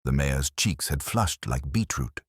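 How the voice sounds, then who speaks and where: Very deep male story narrator, lively intonation. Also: unhurried, slightly rough, dry recording, clean studio sound. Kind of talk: scripted mystery story narration.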